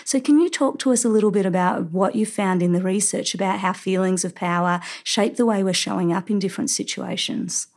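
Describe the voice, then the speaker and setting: A woman hosting an interview, speaking at a middle pitch. She asks her questions in a measured, articulate way. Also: darkish tone. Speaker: interview host.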